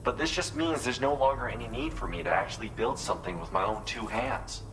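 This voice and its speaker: A man's voice: mid-range voice, a little fast, close to an everyday voice. An actor playing a wistful, proud architect in a video game, speaking earnestly and reflectively as he explains his craft. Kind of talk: video game cutscene dialogue. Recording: clear background noise under the voice.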